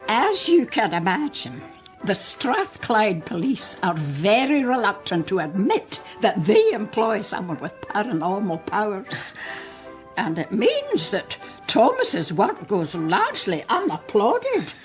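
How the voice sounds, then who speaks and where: Older Scottish mother, proud, talkative, genteel, animated pitch, radio drama. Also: takes it slowly, faint music behind. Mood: proud, chatty. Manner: genteel, chatty, boastful. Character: proud, talkative mother of a grown psychic son. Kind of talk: naturalistic radio drama acting.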